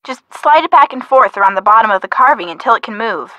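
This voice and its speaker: Casting: practical young woman, a sleuth's wry friend in an adventure game; fairly high, crisp-edged bright voice, confident. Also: animated, fast, dry recording, highs cut off. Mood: brisk, instructive. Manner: matter-of-fact, wry. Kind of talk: video game hint dialogue.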